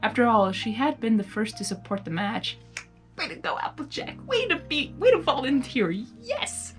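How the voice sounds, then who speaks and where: A woman reading fan fiction aloud with giggly, excitable asides. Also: fairly high pitch, moderate pace, soft background music. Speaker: fan fiction reader and channel host. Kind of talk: animated blind reading of a story with casual asides.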